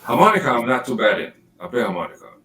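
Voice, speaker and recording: A man's voice: medium pitch, very animated, very fast, pushed and bright. A man co-hosting a podcast, chatting casually in a rambling, profane, laid-back way. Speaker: podcast co-host. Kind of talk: casual chat.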